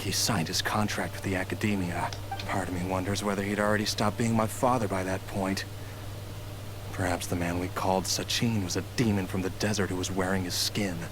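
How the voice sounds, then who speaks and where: An actor playing a bitter, entitled grown son scheming for money in a video game, with a sneering, resentful manner. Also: male voice, deep voice, background noise, natural voice. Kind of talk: English video game voice acting. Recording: music clearly behind.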